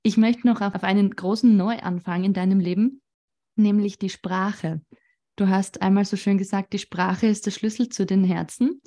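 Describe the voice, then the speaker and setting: A woman's voice: medium pitch, steady tone, normal speed, dark tone. A woman hosting an interview, attentive and affirming as she asks her guest questions. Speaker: podcast host.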